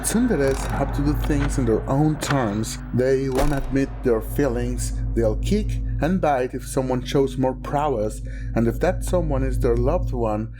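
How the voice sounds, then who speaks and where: A man's voice: medium pitch, unhurried, music in the background, low-quality recording. A man hosting and narrating an art video in scripted prose, with joking asides.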